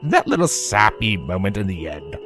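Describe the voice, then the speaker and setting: A narrator voicing a theatrical, mocking male chaos spirit, a trickster with a grandly drawn-out, amused delivery in a fantasy story. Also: low voice, big pitch swings, a bit bright, soft background music, voice pushed down, heavily acted. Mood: gleeful, mocking.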